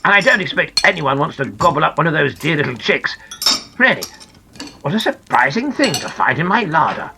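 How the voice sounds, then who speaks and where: A woman's voice: deep voice, very animated, brisk, creaky, exaggerated voice. A woman voicing a fussy, scolding but kindly male gnome from a children's storybook, exclaiming and reproving, with some background noise. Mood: cross, bemused.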